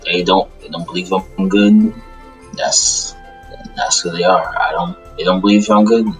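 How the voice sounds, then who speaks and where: Weary, guarded demon played by a man for anime game roleplay; halting delivery, off-mic with music behind. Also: deep voice, steady tone. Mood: resigned, hurt. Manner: halting, repetitive, sighing. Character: brooding male demon outcast, weary and guarded. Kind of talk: improvised Minecraft anime roleplay. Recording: distant mic under music.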